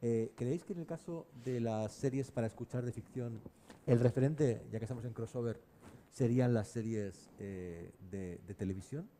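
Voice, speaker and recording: A man moderating an unscripted panel discussion, putting long questions in a measured, hesitant way. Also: mid-range voice, dark tone. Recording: clear background noise, room ambience.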